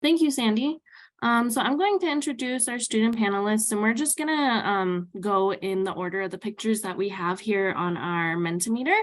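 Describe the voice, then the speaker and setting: A woman's voice: medium pitch, moderate pace. A woman moderating a webinar panel, upbeat and organized as she introduces each student over a video call.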